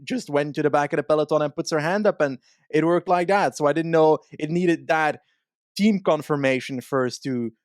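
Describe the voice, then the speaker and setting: A man with a somewhat high-pitched voice speaks as a podcast co-host. He explains things in a casual, analytical way, building up points with examples. The recording is dry and close. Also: normal speed, no room sound.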